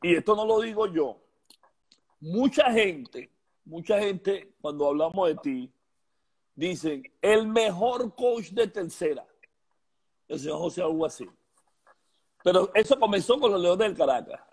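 Older Venezuelan man hosting a video-call chat from his home, his voice husky and fairly high for a man, joking and stretching words out for emphasis. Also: animated, darkish tone. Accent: Venezuelan Spanish. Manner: chatty, joking, drawn-out emphatic phrases. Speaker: host. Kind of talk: casual live-stream interview. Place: home room with shelves, indoors. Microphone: video call webcam.

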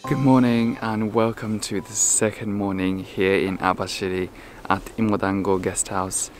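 A man's voice: fairly low pitch, level tone, moderate pace, faint music behind. A young man vlogging casually as he tours a countryside guest house, chatty and upbeat with frequent ums, filming himself at arm's length. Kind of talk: casual travel vlog tour. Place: guest house indoors and snowy farmyard outdoors. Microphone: handheld camera at arm's length.

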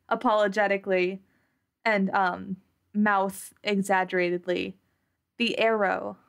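A woman's voice: mid-range voice, takes it slowly, gravelly, pauses often, clear, resonant tone. A woman playing a tabletop game, casually improvising and describing what her character does. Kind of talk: casual improvised roleplay narration.

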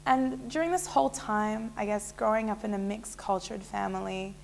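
A woman's voice: medium pitch, moderate pace, vocal fry, slight background noise. A young woman in a small indoor room, telling her personal story to a fixed camera in a casual, rambling way with many fillers. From Israel. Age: young adult. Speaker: interviewee.

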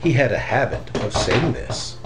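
A middle-aged man reading a children's story aloud in an expressive, deliberate way. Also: mid-range voice, heavy background noise. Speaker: storybook reader.

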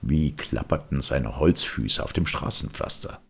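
Very deep, gravelly male storyteller. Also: measured pace, a bit bright. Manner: dramatic, addresses listeners directly. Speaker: audiobook narrator. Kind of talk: expressive children's story narration.

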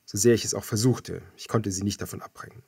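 Low, husky male audiobook narrator. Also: steady tone, quick pace, a little mellow, no echo. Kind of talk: scripted first-person thriller narration.

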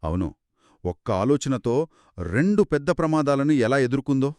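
Male kids' story co-host, theatrical and reactive, lively swooping pitch, dry sound. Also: normal speed, dry recording. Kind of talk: scripted children's storytelling in dialogue.

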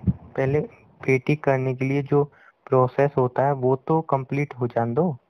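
A man's voice: medium pitch, flat delivery, measured pace, smooth, no echo. A young man with an Indian accent bantering casually, mock-scolding in playful couple chat. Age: young adult. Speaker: boyfriend on a phone call. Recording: dull, dry lo-fi call recording.

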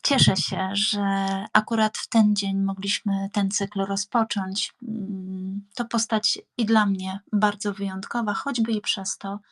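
A woman host presenting an audio series in an earnest, measured, semi-spontaneous way with hesitant pauses. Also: mid-range voice, little pitch movement, no room sound. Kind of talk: semi-spontaneous programme outro.

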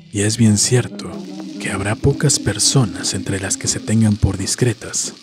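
Low, mellow male horror narrator. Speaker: host and narrator of a story series. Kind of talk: scripted dramatic narration of a horror story. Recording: music behind the voice. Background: music.

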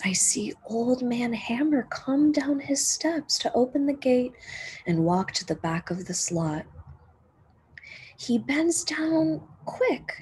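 Slow, husky woman reading a storybook. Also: fairly high pitch, darkish tone, poor audio. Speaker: teacher reading a picture book aloud. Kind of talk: expressive children's storybook read-aloud.

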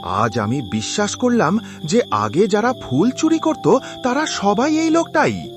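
A man's voice: high-pitched, wildly swooping pitch, unhurried, music in the background, heavily acted. A man voicing a greedy, bullying head gardener in a cartoon moral tale, theatrical and scolding. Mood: angry, accusing.

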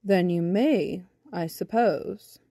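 A woman voicing a slow, serious male bumblebee with a rumbly bass voice in a children's storybook. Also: mellow, no echo, clean studio sound. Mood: serious, objecting. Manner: slow, deliberate, pedantic.

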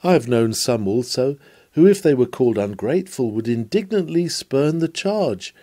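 A man's voice: medium pitch, animated, moderate pace. Solemn man reading an old sermon. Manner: solemn, declamatory, with dramatic swells and hushed drops. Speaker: sermon reader. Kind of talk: reading a Victorian sermon aloud.

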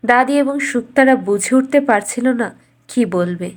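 A woman narrating a scripted bedtime fairy tale as a storyteller, in a measured, expressive way. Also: a little high.

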